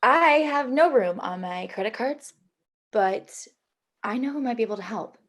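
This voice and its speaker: A woman's voice: on the high side, lively intonation, normal speed, fairly bright tone. A woman playing a wry, guarded young assistant in a table read.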